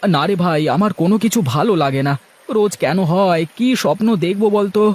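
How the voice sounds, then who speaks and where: A man voicing a good-natured but anxious village fisherman in a moral-story cartoon, animated and homely, full of exclamations. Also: sits high, a little fast. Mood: troubled. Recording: music behind the voice.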